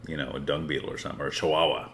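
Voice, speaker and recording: A man hosting a podcast in casual chat, laid-back and easily excited, with lots of casual slang. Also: extremely low pitch, rapid-fire, a loud crowd. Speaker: podcast host.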